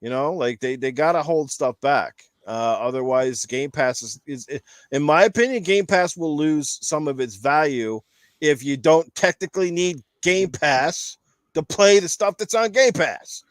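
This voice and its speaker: A man's voice: on the high side, lively intonation, unhurried, dry recording. A man hosting a casual gaming talk show, talking in a rambling, emphatic, explanatory way. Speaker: podcast host.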